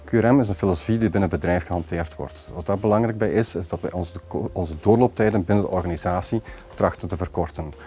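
Low-voiced Flemish businessman explaining. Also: fast talker, dark tone. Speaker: company executive. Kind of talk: corporate interview. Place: office with glass wall. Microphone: lapel mic.

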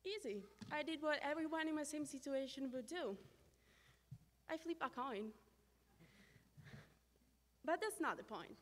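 A young woman with an Italian accent reads an earnest graduation speech into a podium microphone. Her voice sits a little high and rings through a long gym reverb. Her English is careful and heartfelt. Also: unhurried, pauses often. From Italy. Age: young adult. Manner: earnest, reading from notes at a podium. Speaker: exchange student giving a graduation speech. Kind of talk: prepared ceremony speech. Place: large school gym.

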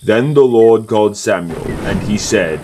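A man's voice: on the low side, expressive, a little slow, noisy background. A man narrating scripture from a script in an audio drama.

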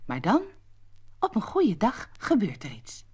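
A woman narrating a scripted children's story as an expressive storyteller. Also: mid-range voice, a little slow, husky.

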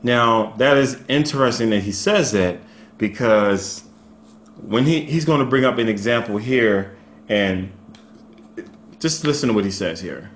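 This is a man in his thirties with a medium-pitched voice. He talks casually and without a script, in the way of a video commentator. He argues his case and restates phrases for emphasis. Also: unhurried, slight background noise.

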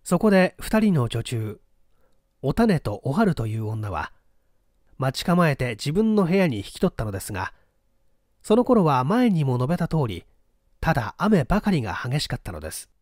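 A man reads a scripted mystery story in a measured storyteller's delivery. His voice sits a little high for a man and moves through a wide, lively range of pitch. The sound is dry and close, with no room around it. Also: no room sound. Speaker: audiobook narrator, small-theatre stage actor. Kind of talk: scripted narration, mystery novel read aloud.

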